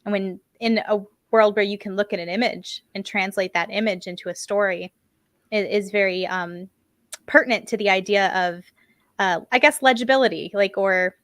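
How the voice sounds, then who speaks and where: A woman's voice: medium pitch, steady tone, moderate pace, fairly bright tone, no echo. A woman with a mid-range voice hosts a casual podcast conversation. She chats in a self-deprecating way, with frequent fillers. The sound is dry and close. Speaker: podcast host.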